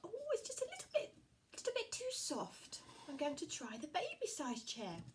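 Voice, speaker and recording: A woman voicing a cheerful, polite little girl with golden curls in a storybook puppet show, with bright, sing-song exclamations. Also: sits high, wildly swooping pitch, normal speed. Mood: fussy.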